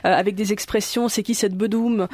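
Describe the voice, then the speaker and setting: A woman's voice: medium pitch, steady tone, fairly quick, darkish tone, no room sound. Hesitant radio interviewer woman. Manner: hesitant with many fillers.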